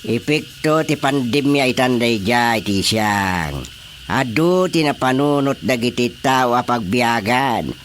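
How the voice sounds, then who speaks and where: A woman's voice: rumbling low, animated, takes it slowly, a little hiss or hum, exaggerated voice. A woman with an Ilocano Filipino accent playing an easygoing, amused, placating village chairman in a radio drama comedy. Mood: explaining.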